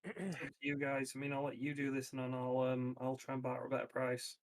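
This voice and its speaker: A man with a voice of medium pitch takes part in a tabletop roleplaying session. He talks casually, working through plans with the group. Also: flat delivery, quick pace, rough recording. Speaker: player in a tabletop roleplaying game. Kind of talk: casual game discussion.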